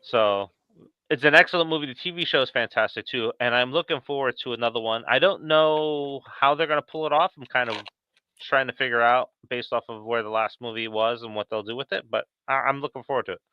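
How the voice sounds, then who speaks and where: A man with a smooth, clean voice and a middling pitch hosts a casual film podcast. He talks in a loose, conversational way. The audio is close and dry, with a slightly muffled top end. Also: a little fast, no echo. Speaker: podcast host. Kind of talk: casual chat about horror films.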